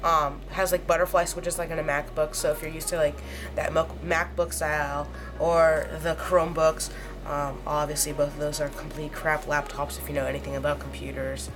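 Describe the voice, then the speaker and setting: A woman with a slightly hoarse voice, rambling through a casual unboxing review with lots of fillers. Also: low-pitched, flat delivery, over music. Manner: rambling, halting, many fillers. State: hoarse, under the weather. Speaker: tech reviewer.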